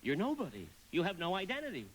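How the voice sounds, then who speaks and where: An actor playing a gentle, elderly guardian angel in a live radio play, explaining things earnestly. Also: male voice, high-pitched, huge pitch swings, fast, a little hiss or hum, full character voice, rough recording. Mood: solemn.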